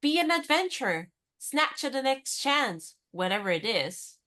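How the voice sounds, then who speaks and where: A woman playing a plucky, independent American in her twenties in a radio comedy, speaking in a brisk, forthright, encouraging way. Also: high-pitched, very animated, bright, forceful tone, full character voice. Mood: brisk, urging. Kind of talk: radio play comedy dialogue.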